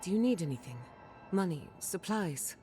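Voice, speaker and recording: Woman playing deep-voiced, determined rebel. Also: lively intonation, normal speed, mellow, soft background music, natural voice. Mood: calm, independent. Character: determined, independent young rebel woman, an animancer. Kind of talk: dramatic video game cutscene acting.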